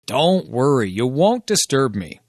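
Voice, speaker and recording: An easygoing, reassuring male friend acted by a man, speaking slowly at a medium pitch with lively melody. It is scripted dialogue for language learners, recorded very dry. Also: no room sound. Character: easygoing, reassuring man named Jack answering a friend's call.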